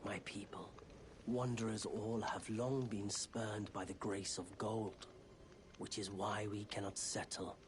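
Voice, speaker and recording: An actor playing a weary, soft-spoken wandering merchant in a fantasy video game, speaking in a hushed, measured, confiding way. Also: male voice, fairly low pitch, a little hiss or hum, natural voice. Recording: game dialogue with clear music behind. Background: music.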